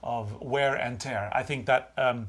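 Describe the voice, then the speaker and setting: A man's voice: mid-range voice, fairly even intonation, measured pace, fairly bright tone, breathy edge. A middle-aged man, a native Norwegian speaker, chatting casually in English as he hosts a craft show-and-tell. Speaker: craft show host and designer. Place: small room with wood-panelled walls.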